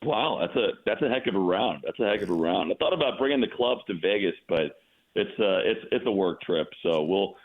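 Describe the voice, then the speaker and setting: A man with a fairly low voice comes through a narrow, tinny phone line. He sounds like a play-by-play announcer chatting casually as a guest on sports radio. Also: lively intonation, fairly quick. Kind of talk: casual interview chat.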